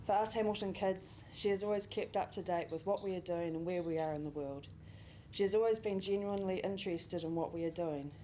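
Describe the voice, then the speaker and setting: A woman's voice: lowish voice, brisk, dark tone, a little hiss or hum. New woman reading a eulogy. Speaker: family friend giving a eulogy. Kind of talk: reading a memorial speech. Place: memorial service venue.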